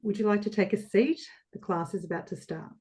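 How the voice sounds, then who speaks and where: A woman's voice: medium pitch, a little fast, a little mellow, lightly acted. A woman playing an anxious, sympathetic, apologetic character in a comic stage play. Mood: concerned.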